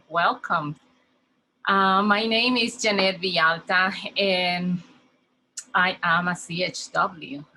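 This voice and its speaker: Slow, earnest woman with a Spanish accent. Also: lowish voice, sharp tone, a little hiss or hum, poor audio. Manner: slow, earnest, heartfelt, with pauses and fillers. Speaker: conference speaker, community health worker. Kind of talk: welcome speech at a conference. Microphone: video call.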